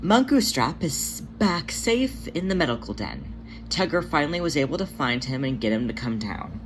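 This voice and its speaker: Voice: mid-range voice, very animated, moderate pace, noisy background, pitched above the performer's own voice, lightly acted. A narrator voicing a caring female cat healer in a careful, hesitant, reassuring way.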